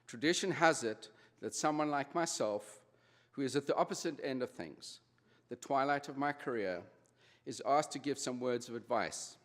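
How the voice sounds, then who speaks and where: A man in his sixties with a South African accent, giving a formal convocation address in a measured, slightly nervous way. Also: mid-range voice, lively intonation. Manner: measured, slightly nervous delivery with small restarts. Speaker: honorary degree recipient giving convocation address. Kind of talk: formal ceremony speech. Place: large ceremony hall. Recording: long hall reverb.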